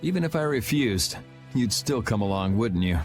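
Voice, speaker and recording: A man's voice: lowish voice, animated, normal speed, faint music behind, lightly acted. A man voicing a laid-back, sardonic young hero in a video game, casual and wry.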